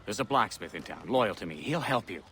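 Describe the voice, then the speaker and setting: An aging statesman begs in a courtly, well-spoken way. His voice is bright and full of movement. Faint noise runs underneath. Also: fairly low pitch, lively intonation, brisk. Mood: persuasive. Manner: courtly, pleading, eloquent. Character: older male statesman, repentant, persuasive.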